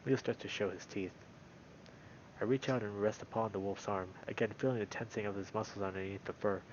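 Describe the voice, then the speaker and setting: A man reading a visual novel aloud as a let's-play host, in an expressive, dramatic storytelling style. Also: lowish voice, a little fast, muffled recording, slight background noise. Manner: dramatic, with loud reactions. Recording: music behind the voice. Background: game music.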